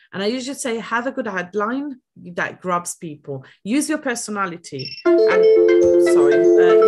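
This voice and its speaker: A woman with an Italian accent, a podcast guest giving social media tips with quirky, lively chat and many fillers over a video call. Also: medium pitch. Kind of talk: podcast interview. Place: home room.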